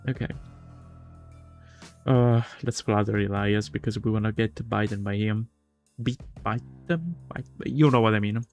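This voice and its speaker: A man streaming a game, reading a visual novel aloud with casual commentary and often stumbling over words. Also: fairly low pitch. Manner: halting, stumbles and repeats words while reading. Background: game music.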